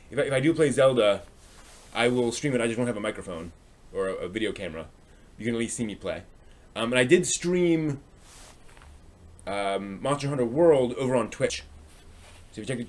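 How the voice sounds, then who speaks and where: A man hosting a casual livestream, rambling and thinking aloud as he chats with viewers in a halting way. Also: mid-range voice. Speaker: live stream host. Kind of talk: casual livestream chat, answering viewers' comments.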